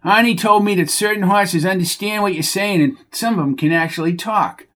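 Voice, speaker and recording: A man's voice: high voice, moderate pace, a bit bright, pitched above the performer's own voice. Man doing a whiny, dim stable hand. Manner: whiny, childish, defensive.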